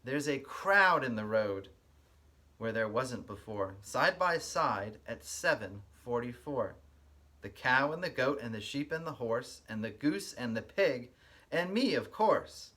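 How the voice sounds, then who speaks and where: A man working as a kindergarten teacher, giving an expressive read-aloud and explaining things simply to young listeners. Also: mid-range voice, a little slow.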